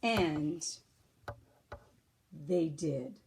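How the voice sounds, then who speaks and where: A woman reading a picture book aloud to children like a teacher, deliberate and expressive, pausing to explain. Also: sits low, creaky, a little hiss or hum.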